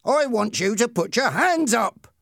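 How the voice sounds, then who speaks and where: Man acting cruel boy bully, raised high pitch, bossy threats, storybook villain, very dry sound. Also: sing-song, slow pace, dry recording, heavily acted. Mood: angry shouting. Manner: shouting, threatening commands.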